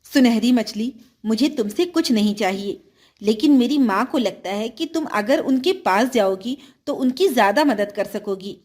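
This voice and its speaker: A kind, obedient storybook boy who speaks politely and sincerely, voiced by a woman narrator. His delivery is mild yet expressive in its rise and fall. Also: on the high side, moderate pace, voice pushed down. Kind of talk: children's storybook dialogue.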